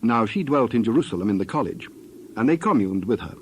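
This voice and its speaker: A man's voice: medium pitch, expressive, fairly quick, a little hiss or hum, low-quality recording. A man giving a scripted narration of scripture as the narrator of a dramatized reading.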